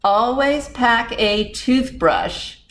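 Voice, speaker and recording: A woman's voice: fairly high pitch, animated, very slow, a bit bright. A woman hosting a storytime for young children, talking playfully and directly to them as a library presenter. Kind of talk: children's storytime reading and chat.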